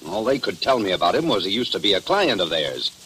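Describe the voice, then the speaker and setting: An actor playing a gruff, suspicious and confrontational small-town official in old radio drama style. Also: male voice, low voice, big pitch swings, fast talker, a bit bright. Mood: irritated, suspicious. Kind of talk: 1940s radio drama acting. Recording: noisy old radio broadcast recording.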